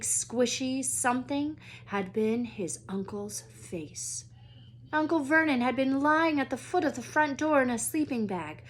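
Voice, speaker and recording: A woman reading a children's book aloud as a storyteller, dramatic and expressive, sometimes hushed for suspense. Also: fairly high pitch. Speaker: read-aloud storyteller, teacher.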